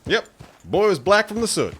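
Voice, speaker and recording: An actor playing a boastful frontier hunter who spins folksy tall tales in a radio drama. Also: male voice, high voice, wildly swooping pitch, normal speed, a bit bright, husky, heavily acted.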